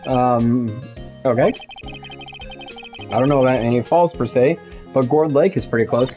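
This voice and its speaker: A man voicing a dry, sarcastic young male defence lawyer in a video game, with a deadpan delivery. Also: medium pitch, unhurried, faint music behind, lightly acted. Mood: wry.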